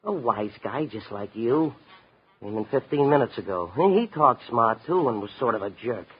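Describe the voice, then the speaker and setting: An actor playing a cagey, slangy big-city informant with a husky voice in a 1950s radio drama. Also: male voice, mid-range voice, animated, moderate pace. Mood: sullen. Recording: muffled 1950s radio recording.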